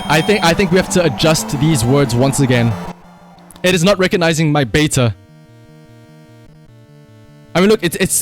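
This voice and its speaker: A man with a South African accent commentating on a live gaming stream and calling out voice commands in an excitable way. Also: a little high. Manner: animated, excitable, exclamatory. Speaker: livestreamer.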